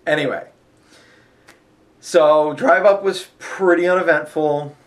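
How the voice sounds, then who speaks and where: A man's voice: a little high, fairly even intonation, takes it slowly. A man with a sniffly nose, chatting casually and rambling about a road trip, full of fillers. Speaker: vlogger. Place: hotel.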